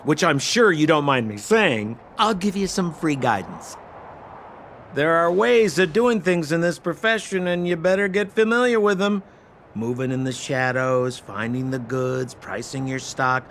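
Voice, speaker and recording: High-pitched, flamboyant con-man charmer. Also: a bit bright, a little hiss or hum. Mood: chummy, patronizing. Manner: theatrical, ingratiating salesman patter. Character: flamboyant, silver-tongued con-man treasure dealer, young adult man. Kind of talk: animated video game voice acting.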